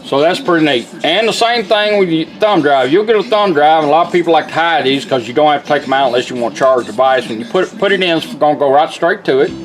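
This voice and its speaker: A man's voice: a little high, lively intonation, fast, few pauses. A man giving a casual hands-on product demonstration in a plain-spoken, folksy, step-by-step way.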